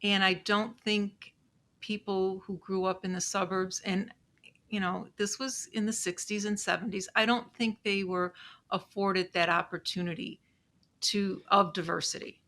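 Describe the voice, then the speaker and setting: A woman in her sixties with a Chicago accent, speaking softly and in a measured way, with frequent pauses, as a podcast guest. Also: mid-range voice, flat delivery. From Chicago, USA. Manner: soft-spoken, measured, with many pauses and "um"s. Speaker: legal assistant and podcast guest. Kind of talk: casual interview chat.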